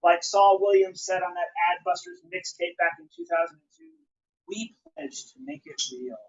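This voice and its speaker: A man's voice: sits high, normal speed, background noise. A man giving a live spoken-word poetry reading, with an emphatic, rhythmic, declamatory delivery. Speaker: poet. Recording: dull, lo-fi live recording.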